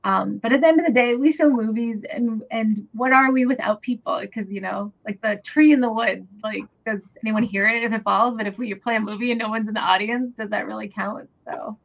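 Brief: woman guest on a radio show, earnest and halting, muffled lo-fi sound. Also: a little high, gravelly. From New Hampshire, USA. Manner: earnest, warm, many ums and restarts. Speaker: guest, theater executive director. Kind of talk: radio interview answers. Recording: dull lo-fi radio audio.

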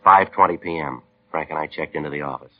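An actor playing a stoic, courteous police detective sergeant in a 1950s radio crime drama, deadpan and clipped. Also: male voice, very deep voice, fast talker, heavy background noise. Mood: flat, factual. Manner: deadpan, clipped, matter-of-fact. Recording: dull lo-fi 1950s radio recording.

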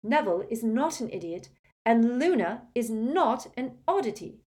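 Woman doing an earnest, high-voiced teenage girl. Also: animated, moderate pace, darkish tone, close to an everyday voice. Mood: indignant. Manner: polite but insistent. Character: earnest, prim teenage girl witch, quick to object. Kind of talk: audiobook character acting.

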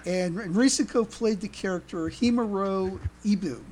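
A podcast host chatting casually in a halting, stammering way, often repeating words. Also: medium pitch, darkish tone. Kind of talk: casual panel discussion. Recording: noticeable background noise.

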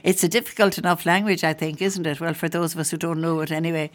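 A woman hosting a casual interview, chatty and quick to laugh. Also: sits low, little pitch movement. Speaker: radio host.

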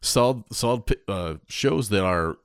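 Deep-voiced man chatting on a podcast. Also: animated, moderate pace, clean tone, no echo, clean studio sound. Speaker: podcast co-host. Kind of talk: casual conversation about movies and games.

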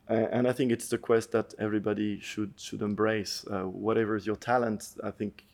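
A middle-aged man speaks in a lowish voice with a gravelly, creaky edge. He talks as an earnest podcast guest. He reflects out loud and pauses now and then to find the right word. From France. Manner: earnest, thoughtful, searching for words. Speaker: podcast guest, endurance athlete. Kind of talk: reflective storytelling interview.

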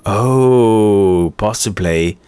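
A man hosting a podcast in casual chat, hesitant and quick to laugh. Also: on the low side, warm tone, smooth. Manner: giggly, hesitant, laughs often. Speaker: podcast host.

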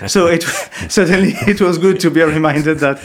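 French-accented monk guy, pauses a lot, restarts sentences, lightly high pitched. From France. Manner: hesitant, many fillers and restarts. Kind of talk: reflective interview storytelling.

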